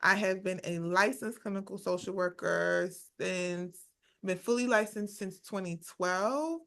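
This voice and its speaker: Bright, lively woman therapist guest. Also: medium pitch, unhurried, creaky. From Guyana, raised in New York City. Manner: lively, laughing, thinks aloud about dates. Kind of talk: casual interview chat.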